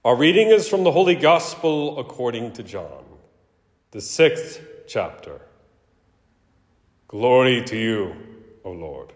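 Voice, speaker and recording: Slow, solemn male preacher in a reverberant hall. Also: medium pitch, animated. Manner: solemn, emphatic, theatrical oratory with deliberate pauses. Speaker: preacher and scripture reader. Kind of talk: dramatic scripture reading and sermon. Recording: echoey hall with long reverb.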